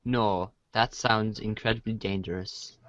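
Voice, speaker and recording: A man puts on a droll cartoon storybook narrator, theatrical and halting. The voice drops low and goes slowly, on dry, dull lo-fi audio. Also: fairly even intonation, a bit bright, dry recording. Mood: exasperated. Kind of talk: comic narration.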